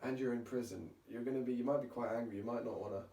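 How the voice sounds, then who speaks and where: A man's voice: mid-range voice, steady tone, very fast, mellow. A man hosting a podcast in casual chat, chatty and jokey, asking lots of questions. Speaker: podcast host. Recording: roomy, distant mic with faint hiss.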